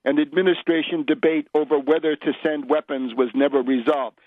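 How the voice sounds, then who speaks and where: A man delivering an unscripted political radio monologue in a scornful, emphatic, opinionated manner, with halting, deliberate phrasing. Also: a little high, steady tone, dry recording. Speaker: political radio commentator and host. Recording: dull, lo-fi radio audio.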